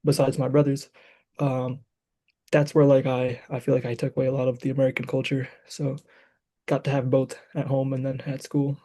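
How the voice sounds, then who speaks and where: Young man from Michigan, guest on a casual podcast, monotone, mellow gravelly voice, hesitant delivery. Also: medium pitch, moderate pace. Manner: hesitant, many fillers. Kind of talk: casual chat.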